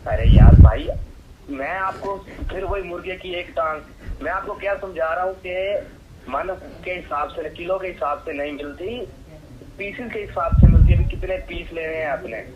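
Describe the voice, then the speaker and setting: A man's voice: fairly high pitch, normal speed. A man with a Pakistani accent working as a medicine supplier, talking on the phone in an exasperated, sarcastic way. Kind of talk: phone conversation. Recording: dull, noisy phone line.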